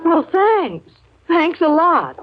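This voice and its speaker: An actress playing a polite, mildly puzzled housewife and mother in a 1950s radio drama, genteel and gently inquiring. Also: extremely high pitch, very animated, takes it slowly, music in the background, exaggerated voice. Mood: polite, sociable. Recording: muffled vintage 1950s radio recording.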